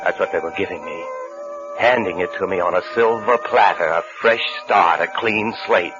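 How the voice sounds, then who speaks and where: A man acting a desperate fugitive telling his own story in an old radio thriller. His voice is very deep and his manner fatalistic. Music runs with it on a muffled vintage recording. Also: normal speed, close to an everyday voice. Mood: brooding, calculating. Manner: brooding, fatalistic first-person storytelling. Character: brooding, desperate man on the run for murder. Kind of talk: 1950s radio suspense drama, first-person narration.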